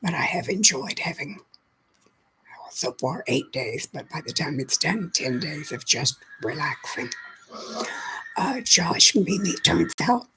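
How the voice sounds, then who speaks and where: A woman hosting a casual livestream while her voice is nearly gone, so most of it comes out as a hoarse whisper. Her tone is bright and edgy, and she chats along easily, greeting viewers as they arrive. A faint hum sits underneath. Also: sits low, lively intonation, unhurried, poor audio. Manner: chatty, greets viewers by name as she talks. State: lost voice, mostly whispering. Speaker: livestream host. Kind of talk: casual live chat with viewers.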